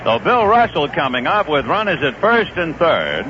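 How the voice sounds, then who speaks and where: A man calls baseball play-by-play in a slightly high voice that rises and falls freely. He speaks conversationally and lifts into bursts of excitement on big plays. The sound is a dull old radio broadcast under a clear layer of hiss. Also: moderate pace. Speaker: play-by-play announcer.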